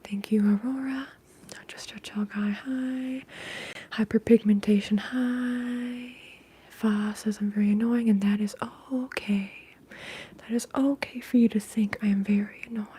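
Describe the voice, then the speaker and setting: A woman speaks in a very breathy, whispery hush with little rise and fall in pitch. She is hosting ASMR and chats casually and softly, as if to viewers on a livestream. The tone stays muted and gentle on the ear. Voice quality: soft-spoken, breathy, whispery. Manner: hushed, unhurried casual talk. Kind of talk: ASMR, chatting with live viewers.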